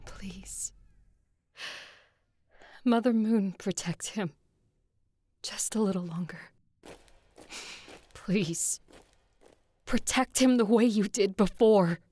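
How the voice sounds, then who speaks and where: An actress playing a proud, weary vampire ruler who narrates her story gravely and with measured care in an audio drama. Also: mid-range voice, mellow, slight background noise, close to an everyday voice. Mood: pleading. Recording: music under the voice. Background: music.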